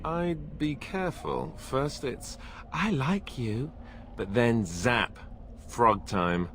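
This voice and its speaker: Casting: sarcastic young warrior, fantasy game, joking and nervy, lively melody, clear noise behind. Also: male voice, mid-range voice, takes it slowly. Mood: wry, wary. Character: wry young male Grey Warden, former templar, nervy joker. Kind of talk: fantasy video game acting.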